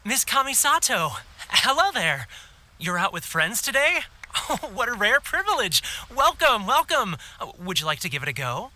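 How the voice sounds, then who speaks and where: Welcoming fortune slip vendor, cheery and friendly, bright lively voice, faint hiss behind. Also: male voice, high voice, moderate pace, heavily acted. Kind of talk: game cutscene voice acting.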